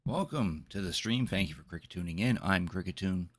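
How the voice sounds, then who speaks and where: A man's voice: low voice, animated, brisk, slightly rough. A man hosting a livestream, talking casually in a rambling, conversational way. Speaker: game live streamer, host.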